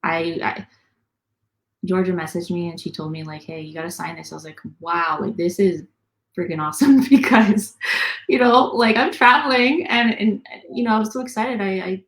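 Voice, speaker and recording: A young woman chats as the guest in a casual online interview. She is upbeat and talkative, with frequent fillers and a somewhat low voice. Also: normal speed. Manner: upbeat, chatty, many fillers. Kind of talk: casual video call interview.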